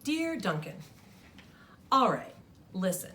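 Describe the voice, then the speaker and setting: A woman's voice: mid-range voice, very animated, slow pace, a bit bright. A woman reading the letter of a fussy, neat purple crayon from a storybook, sounding exasperated.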